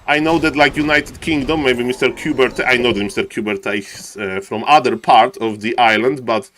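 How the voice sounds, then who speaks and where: A man with a Polish accent doing live strategy game commentary and chatting to viewers, excitable and repeating phrases for emphasis. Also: medium pitch, normal speed, slight background noise. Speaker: esports caster and live streamer.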